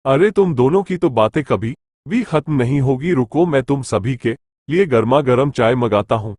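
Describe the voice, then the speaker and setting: A man's voice: medium pitch, expressive, moderate pace, darkish tone, no room sound, clean studio sound, lightly acted. A man voicing a mild, caring father in a Hindi moral story cartoon. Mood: mild, welcoming.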